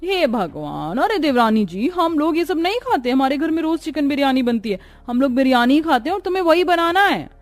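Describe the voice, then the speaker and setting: A woman's voice: high-pitched, big pitch swings, fairly quick, few pauses, dry recording, soft background music, voice pushed up. A woman voicing an irritable, bossy sister-in-law in a cartoon story, snappish and emphatic. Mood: irritated, bossy.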